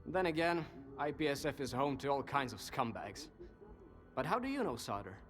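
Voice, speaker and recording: A man's voice: medium pitch, sing-song, normal speed, a bit bright, soft background music. An actor playing a cynical, worldly male informant in a video game, talkative and sardonic, with an insinuating conversational manner. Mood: sly, probing. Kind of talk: video game dialogue acting.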